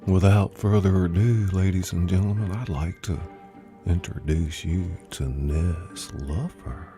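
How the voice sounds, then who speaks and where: A man's voice: low voice, fairly even intonation, a little slow, dark tone. A man hosting and narrating a dramatized live audiobook reading, with music playing behind him.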